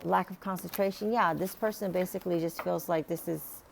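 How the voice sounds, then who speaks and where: A woman with a raspy, hoarse voice giving a casual tarot reading, rambling with many fillers and restarts. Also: on the low side, steady tone, fairly quick, slight background noise. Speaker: tarot reader.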